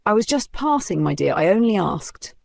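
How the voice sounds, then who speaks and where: Narrator playing gentle old grandmother, shaken and sad; quiet, slow delivery, gravelly. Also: mid-range voice, dry recording, studio quality, pitched above the performer's own voice, lightly acted. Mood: pleading. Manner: trembling, soft and slow. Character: frail gentle grandmother around ninety, hurt.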